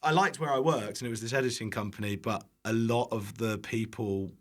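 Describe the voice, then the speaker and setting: A man's voice: lowish voice, fairly even intonation, fairly quick. A young British man telling anecdotes casually as a podcast guest, rambling, breaking off mid-sentence and acting out what people said. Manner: rambling, self-interrupting, acts out quotes. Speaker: podcast guest, online cook.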